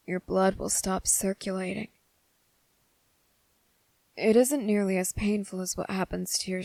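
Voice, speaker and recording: A woman voicing a young, devoted and possessive male vampire in an ASMR roleplay. She speaks slowly and softly, close to the microphone, and slips into whispers. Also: medium pitch, vocal fry, no room sound. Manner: slow, soft-spoken and intimate, slipping into whispers.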